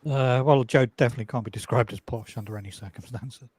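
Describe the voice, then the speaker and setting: A man from North Wales with a British accent, chatting casually in a measured, dryly humorous way. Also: medium pitch, a little mellow, slightly rough. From North Wales coast. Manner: dry, measured, wry. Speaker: livestream panel guest. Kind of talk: casual chat.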